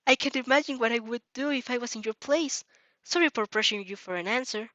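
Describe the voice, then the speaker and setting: Woman voicing a high, gentle, bashful teenage boy. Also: fast talker, no room sound, highs cut off, natural voice. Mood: apologetic. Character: shy, kind, pure-hearted teenage boy.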